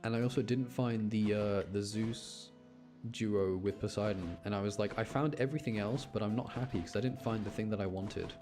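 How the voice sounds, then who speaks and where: A man's voice: lowish voice, brisk. A man giving casual, rambling live commentary on a game, chatting to his stream and often interrupting himself. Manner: rambling, self-interrupting, mild grumbling. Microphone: close streaming microphone. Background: game music.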